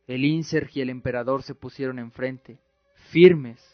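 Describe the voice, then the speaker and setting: A man's voice: medium pitch, steady tone, unhurried, dry recording. A man reading dramatic scripted narration as the narrator of a radio drama. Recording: muffled audio with music. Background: faint music.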